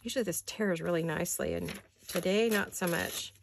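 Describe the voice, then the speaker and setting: An older woman with a raspy voice chatting casually in her home craft studio, rambling and thinking aloud as she works. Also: fairly low pitch, fairly quick, slight background noise. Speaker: craft vlogger. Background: neighborhood garbage-day banging.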